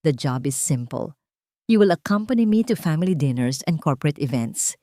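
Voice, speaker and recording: A woman's voice: deep voice, lively intonation, moderate pace, warm tone, no echo, studio quality. A woman voicing a young billionaire CEO in a romance audio story, blunt, businesslike and controlled. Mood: cold, firm. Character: commanding, cool young male billionaire CEO.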